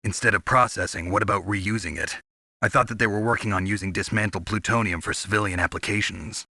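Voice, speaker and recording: A man playing a gruff, wry covert soldier in a video game, with a gravelly, husky voice and terse, questioning delivery. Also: lowish voice, fairly even intonation, a little fast, pushed and bright, dry recording, studio quality, heavily acted. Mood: probing, curious. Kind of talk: scripted video game voice acting.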